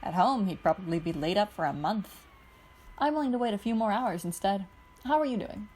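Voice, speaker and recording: A woman reading aloud, voicing a calm, steady young male leader who speaks gently and measured. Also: medium pitch, husky, low-quality recording.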